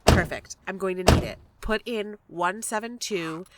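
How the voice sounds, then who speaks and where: A woman's voice: medium pitch, measured pace, very noisy, lightly acted. A woman acting in a fiction podcast as a restless, frustrated and blunt character with a sardonic manner. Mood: brisk.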